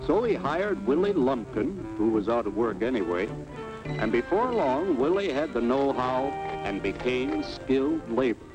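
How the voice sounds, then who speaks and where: A man's voice: mid-range voice, lively intonation, a little slow, highs cut off, lightly acted. A man playing a plain-spoken American everyman, narrating in a folksy, persuasive way for a 1950s educational cartoon. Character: plain-spoken, level-headed everyman citizen, folksy storyteller. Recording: vintage film soundtrack, music behind.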